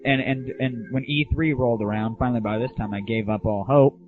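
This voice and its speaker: A man's voice: medium pitch, fairly even intonation, a little fast, background noise. A man's voice comes through narrow, phone-like lo-fi internet audio. He hosts a gaming show as an informal one-man rant. His delivery is crude and sarcastic, with exaggerated griping. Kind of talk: informal solo rant and commentary.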